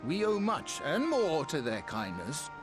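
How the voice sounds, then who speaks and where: An actor playing a nervous but high-minded middle-aged gentleman mayor in a video game, formal and oratorical with a tendency to stammer. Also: wildly swooping pitch, a little slow, over music. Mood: earnest resolve.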